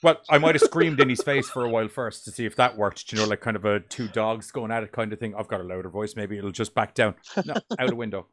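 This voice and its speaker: A man co-hosting a casual horror movie group chat, rambling and swearing as he tells animated stories. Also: fairly low pitch, fast talker, hardly any pauses. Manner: rambling, profane, animated storytelling. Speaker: podcast co-host.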